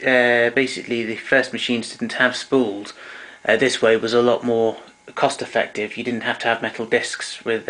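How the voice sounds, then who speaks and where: Man, even unvaried intonation, hesitant halting delivery, informal technical walkthrough, hobbyist demonstrator. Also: medium pitch, rough recording. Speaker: vintage tape recorder enthusiast demonstrating equipment. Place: indoors, small domestic room.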